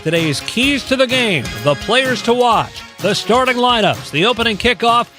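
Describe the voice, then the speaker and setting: A man's voice: extremely high pitch, animated, moderate pace, sharp tone, faint music behind. A man reading a scripted sports broadcast promo as network announcer.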